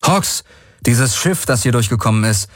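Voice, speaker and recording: Man doing an anxious, croaking young explorer. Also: mid-range voice, animated, dry recording, studio quality, pitched above the performer's own voice, close to an everyday voice. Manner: tense, croaking, hurried.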